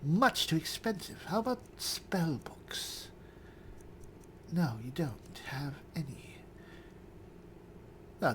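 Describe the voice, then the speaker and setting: A man voicing a cool, dry high elf spellsword, musing formally as he roleplays through a fantasy game. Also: a little hiss or hum. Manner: measured, formal, thinking aloud.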